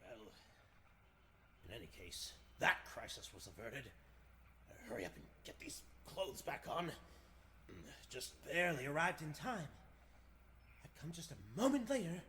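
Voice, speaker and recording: A man's voice: on the high side, very animated, unhurried, long pauses, pushed and bright, a little hiss or hum, voice pushed down, exaggerated voice. A man playing an earnest, troubled superhero thinking aloud in the melodramatic style of 1940s radio drama. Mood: relieved. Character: heroic male superhero, righteous, troubled and straining.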